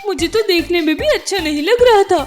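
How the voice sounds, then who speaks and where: A woman's voice: very high-pitched, lively intonation, fairly quick, faint music behind, pitched above the performer's own voice. A woman voicing a caring, fretful wife bird in a cartoon story, earnest and concerned as she talks to a friend.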